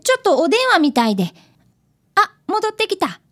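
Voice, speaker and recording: Radio drama a boyish apprentice detective of about ten. The voice sits high and moves up and down in a lively way. He is polite, eager and childlike in how he talks. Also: moderate pace, full character voice. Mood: polite, chatty. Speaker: radio drama actor and narrator. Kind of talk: radio drama acting with first-person narration.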